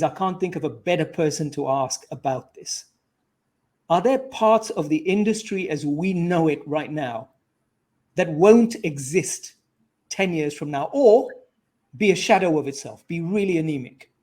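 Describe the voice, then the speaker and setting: A man with a fairly high-pitched voice conducts an interview. His delivery is conversational and thoughtful, circling through asides as he builds toward a question. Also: lively intonation, a little slow, a little mellow.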